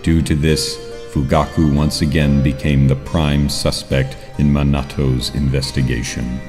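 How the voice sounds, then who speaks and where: Actor as grave male narrator for a ninja audio drama. Rock-bottom pitch, hall-like reverb, music beneath. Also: flat delivery, a little slow.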